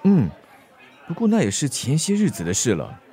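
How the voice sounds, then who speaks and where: Helpful husky man. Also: on the high side, wildly swooping pitch, moderate pace, warm tone, some chatter behind, exaggerated voice. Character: helpful, level-headed male bystander offering leads. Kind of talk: Chinese-language radio drama acting.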